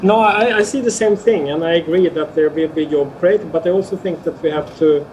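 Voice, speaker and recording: A man speaking as a panelist on an online panel, measured, with many filler sounds. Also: fairly high pitch, a little mellow, a little hiss or hum, low-quality recording.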